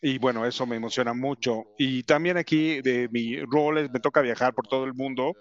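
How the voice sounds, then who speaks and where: A man's voice: medium pitch, steady tone. English-accented guy giving a talk to educators, lots of ums and restarts, kinda muffled recording. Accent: English speaker's Spanish. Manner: halting, many fillers and restarts. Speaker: company representative presenting to educators. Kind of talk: conference presentation.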